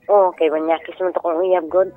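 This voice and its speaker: Higher-voiced Filipino man calling in. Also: flat delivery, moderate pace, narrow, tinny audio. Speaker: radio show caller. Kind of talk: casual personal chat. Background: music underneath.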